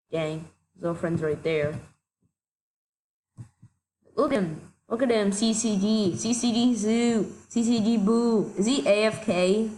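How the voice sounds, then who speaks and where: A woman giving casual gameplay commentary, excitable and quick to shout in frustration, a little sick. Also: medium pitch, a little hiss or hum, rough recording. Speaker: gaming commentator.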